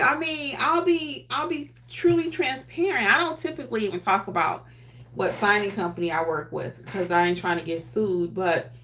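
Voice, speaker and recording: A woman hosting a notary training livestream, chatting candidly in casual slang, reading and answering viewer comments in a quiet voice. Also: medium pitch. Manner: says she has her quiet voice on.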